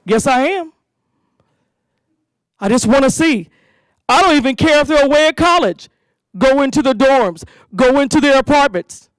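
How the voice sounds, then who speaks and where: A woman hosting a school community safety event, giving an animated speech to parents and leading call-and-response chants. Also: sits high, normal speed, long pauses, no echo, low-quality recording.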